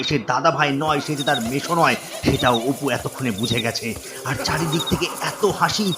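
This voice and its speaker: A man narrating a scripted horror story dramatically, in deliberate, suspenseful phrases with pauses. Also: fairly high pitch.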